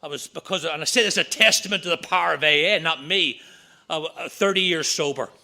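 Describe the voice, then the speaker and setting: A middle-aged man with a Northern Irish accent, giving an earnest talk at a recovery meeting with quick asides. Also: a little high, gravelly, sharp tone. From Northern Ireland. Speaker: AA speaker. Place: meeting room.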